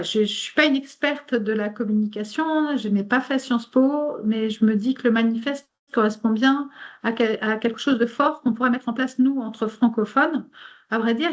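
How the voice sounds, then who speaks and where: Hesitant German-native woman on a dull call line. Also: on the high side. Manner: hesitant, many fillers and restarts. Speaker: presenter in an online meeting. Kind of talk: informal presentation, partly read aloud.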